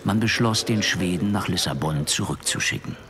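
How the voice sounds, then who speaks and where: A man narrating a scripted audio drama, dry and deliberate, with dramatic pauses. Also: sits low, a bit bright.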